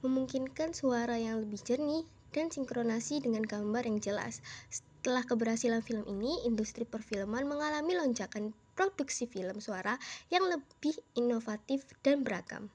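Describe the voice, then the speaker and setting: Hoarse young woman, mellow chatty voice. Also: fairly high pitch, moderate pace. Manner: chatty, peppers talk with "nah" and "gitu deh". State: hoarse, a bit unwell. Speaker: student presenter. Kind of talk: casual educational voice-over. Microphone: home-recorded dubbing.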